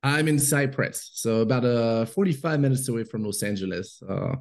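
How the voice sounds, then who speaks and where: A man's voice: mid-range voice, animated, normal speed, darkish tone. A man with a French accent, chatting casually as a podcast guest, hesitant with frequent fillers and laughs. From France. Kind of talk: casual interview chat.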